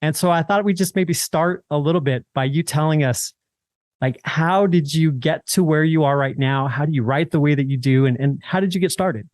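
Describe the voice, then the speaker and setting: Male podcast host, slightly high voice, conversational interview delivery, close dry recording. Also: normal speed, darkish tone, no echo.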